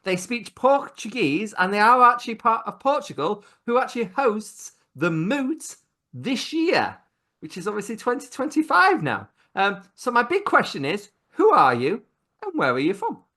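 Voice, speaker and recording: A man's voice: high voice, sing-song, normal speed, sharp tone. A man hosting a casual video-call cooking chat, joking about himself and laughing a lot. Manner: chatty, laughs often, self-deprecating. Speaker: host.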